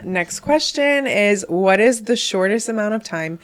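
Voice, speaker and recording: A woman's voice: mid-range voice, expressive, measured pace. A woman co-hosting a podcast, chatting casually and reading out game questions while drinking. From Hartford, Connecticut; raised in Miami and Texas. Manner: laid-back, reads questions aloud, drinking during the game. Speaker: podcast co-host. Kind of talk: casual chat.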